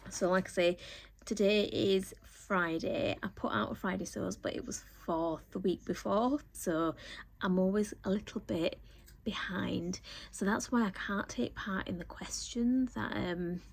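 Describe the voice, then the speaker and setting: A woman, a little croaky from a cold, chatting casually to camera about her sewing week, rambling with lots of ums. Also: medium pitch, unhurried. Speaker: sewing vlogger.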